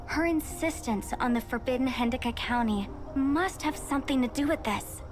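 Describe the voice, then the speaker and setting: A woman's voice: high voice, moderate pace, close to an everyday voice. A woman playing an earnest, dutiful young researcher in a video game, formal and polite as she explains things. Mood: grave, earnest. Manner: formal, expository, apologetic. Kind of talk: scripted video game dialogue. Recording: music behind the voice.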